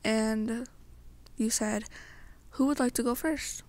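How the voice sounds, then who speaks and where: Young woman, ASMR storytelling, slow pace, slightly high pitch, halting phrasing. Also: fairly even intonation, a little mellow, no room sound. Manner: halting, pausing between short phrases. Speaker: amateur roleplay narrator. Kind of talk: ASMR story narration addressed to the listener.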